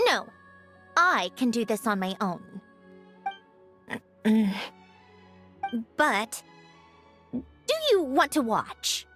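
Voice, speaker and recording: A proud, guarded young heroine of a video game. Her voice sits a little high with very mobile intonation, brusque and dry, reluctant to get sentimental. Soft music plays behind her. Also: heavily acted. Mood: resolute. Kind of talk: dramatic video game dialogue.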